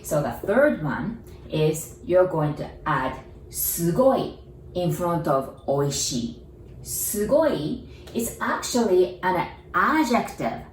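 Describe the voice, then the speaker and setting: A woman's voice: on the low side, very animated, takes it slowly, a little hiss or hum. A woman with a Japanese accent, a native Japanese speaker, teaching an online lesson, patiently repeating words and asking learners questions. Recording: echoey room, mic at a distance.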